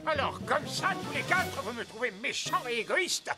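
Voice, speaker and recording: High, grumpy cartoon tyre man. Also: very animated, moderate pace. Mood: offended. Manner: confrontational, offended. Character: grumpy touchy male tyre seller, easily offended. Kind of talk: French children's cartoon dub. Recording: music under the voice.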